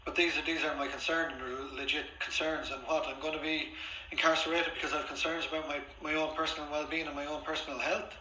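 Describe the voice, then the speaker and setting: Insistent man, sharp and forceful. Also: fairly high pitch, flat delivery, quick pace. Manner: insistent, repetitive, complaining. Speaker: patient on a phone call. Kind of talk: phone conversation, arguing his case. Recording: echoey, faintly noisy room, recorded phone call.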